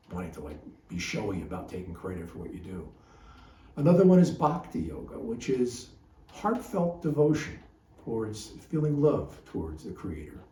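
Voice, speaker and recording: A man's voice: fairly low pitch, warm tone. A man giving a reflective spiritual talk, explaining ideas in a thoughtful way and reading poems aloud. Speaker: psychotherapist reading his own poems and teaching. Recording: roomy, distant mic, faint noise.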